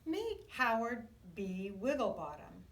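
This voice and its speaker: An echoey room surrounds this woman, who sits a little back from the mic. She reads a children's storybook aloud in an expressive, very lively voice, shaping it deliberately for small kids. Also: fairly high pitch, far from the mic. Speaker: children's book reader. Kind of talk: read-aloud picture book narration.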